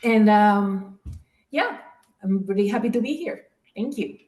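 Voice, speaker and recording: A woman from the Dominican Republic speaks at a mid pitch on a video call, telling about her work in a relaxed, loose way. Her voice rings in a roomy, echoing space, and she leans on fillers like "like" and "um". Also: measured pace. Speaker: guest speaker, program manager. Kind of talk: casual webinar chat.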